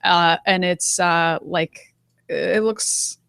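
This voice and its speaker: A woman's voice: lowish voice, steady tone, drawn-out words, vocal fry, fairly bright tone, clean tone, no room sound, studio quality. A woman co-hosting a casual chat show, chatty and laughing, with lots of filler words. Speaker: podcast co-host.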